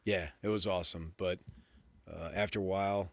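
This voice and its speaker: A man's voice: deep voice, steady tone, normal speed, no room sound. An American man co-hosting sports talk radio, speaking casually in rambling anecdotes and asides.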